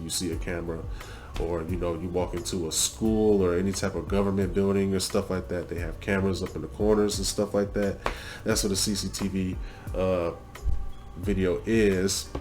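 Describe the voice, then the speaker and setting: A man's voice: low voice, darkish tone. A man hosting a reaction video in loose, rambling casual talk full of fillers and swearing, stuffed up from a cold. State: congested from a cold.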